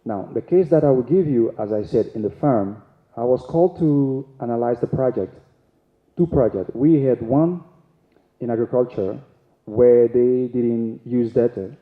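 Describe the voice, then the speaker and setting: A man speaking as a panelist at a conference, explaining step by step with some halting restarts. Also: medium pitch. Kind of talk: panel discussion talk. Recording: echoey hall, dull sound.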